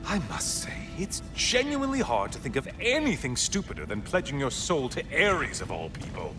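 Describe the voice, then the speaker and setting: An actor playing a gleeful, mocking tormentor in a video game, chatty, theatrical and sarcastic with mock innocence. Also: male voice, medium pitch, huge pitch swings, normal speed, over music. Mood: gleeful mockery. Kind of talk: dramatic video game acting.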